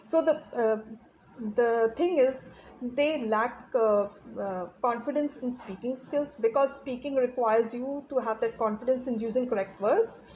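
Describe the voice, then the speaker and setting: Earnest woman teacher, pitched up. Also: darkish tone, a little hiss or hum, rough recording. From Pakistan. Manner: earnest, explanatory, pauses often to find words. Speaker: guest, English teacher and trainer. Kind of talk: interview answers.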